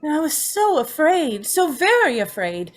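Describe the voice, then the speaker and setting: Casting: actress for gentle young woman in torment, high and very animated, frightened, pleading, old-time radio melodrama. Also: a little slow, faint music behind. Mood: fearful, weary. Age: young adult. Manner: pleading, tremulous, dramatic.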